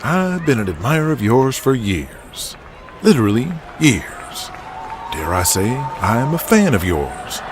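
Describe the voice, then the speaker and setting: A man voicing a cocky, smirking male wrestler in an audio drama, laying on grandiose, drawn-out flattery. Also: medium pitch, a crowd in the background, noisy background. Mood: smug flattery. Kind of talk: audio drama character acting.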